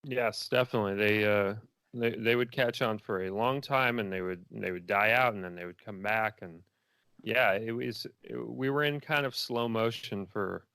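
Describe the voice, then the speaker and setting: A man's voice: on the low side, normal speed, creaky, pushed and bright, smooth, dry recording. A middle-aged man giving casual, reflective interview answers, feeling his way through his thoughts as he talks. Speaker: interview guest, filmmaker.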